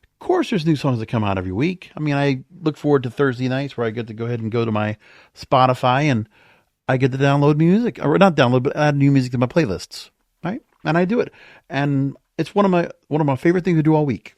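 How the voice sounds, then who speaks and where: A man's voice: medium pitch, fast talker, darkish tone, no echo. A man hosting a podcast alone in a casual, conversational, rambling way, as if thinking aloud. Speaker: podcast host. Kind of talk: casual solo monologue. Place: home or small studio.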